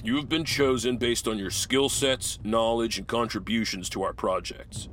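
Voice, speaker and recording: A man acting as a stern military commander briefing a crew, formal and deliberate, in a sci-fi audio drama. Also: medium pitch, steady tone, a bit bright, faint music behind, natural voice.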